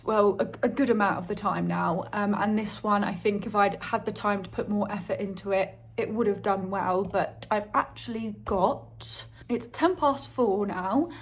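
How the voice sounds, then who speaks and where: A young woman vlogging to her phone on a tripod by a window in her flat, chatting casually and rambling with lots of fillers. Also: mid-range voice. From Spain. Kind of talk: casual vlog monologue to camera. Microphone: phone on a little tripod.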